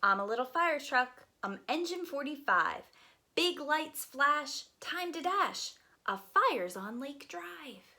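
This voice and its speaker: A woman's voice: sits high, expressive, takes it slowly, pushed and bright, heavily acted. A woman voicing an eager, proud little fire truck in a children's picture book, with emphatic sing-song rhyming delivery.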